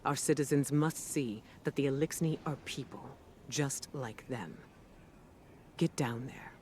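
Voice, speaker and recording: An actor playing a calm, resolute commander giving a grave, authoritative briefing in a game cutscene over music. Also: male voice, mid-range voice, measured pace, a little hiss or hum, natural voice. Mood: firm. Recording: music behind the voice. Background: cinematic music.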